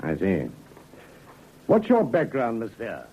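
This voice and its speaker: An actor playing a brusque, businesslike police inspector who questions people curtly in a vintage radio drama. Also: male voice, mid-range voice, huge pitch swings, slow pace, slightly rough, exaggerated voice. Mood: dry, probing. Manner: curt, clipped questioning. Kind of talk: 1950s radio drama acting. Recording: thin, narrow old radio recording.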